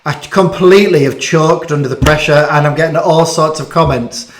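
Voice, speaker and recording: A man with a slightly high voice hosts a casual question-and-answer chat. He is chatty and self-deprecating and often trips over his words. The room around him is noticeably echoey. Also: normal speed. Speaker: interviewer hosting a school bedtime story chat.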